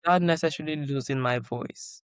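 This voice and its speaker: A woman guest in a conversational interview, reflective and calm, repeating her key phrases for emphasis. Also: deep voice, brisk, smooth. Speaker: guest, doctoral researcher.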